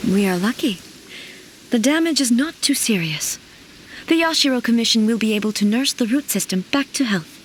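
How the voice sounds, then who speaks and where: Actress as solemn game ruler goddess; formal, measured, commanding, faint noise behind. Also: female voice, on the high side, animated, natural voice. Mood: composed. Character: stern, dignified female ruler and warrior deity. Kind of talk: anime game voice acting.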